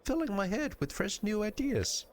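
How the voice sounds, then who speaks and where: Game role: sweet, timid little young woman, played by a man, high and swooping, gushing and hesitant. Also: sing-song, normal speed, slight background noise. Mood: earnest, chatty. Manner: hesitant, gushing, exaggerated when scolding.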